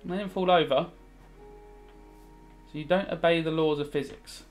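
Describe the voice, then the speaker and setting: A man's voice: a little high, steady tone, normal speed, soft background music. A British man in his thirties, a teacher giving casual revision commentary while gaming, rambling and thinking aloud as he goes. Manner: rambling, thinking aloud, switching between explaining and musing. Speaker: religious studies teacher.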